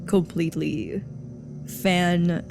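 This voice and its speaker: A woman co-hosting a podcast, jumping in with quick questions and finishing her partner's sentences in casual chat. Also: lowish voice, steady tone, gravelly, a little mellow, soft background music. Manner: quick interjections, finishes the other host's sentences. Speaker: podcast co-host. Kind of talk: casual chat, reacting and prompting.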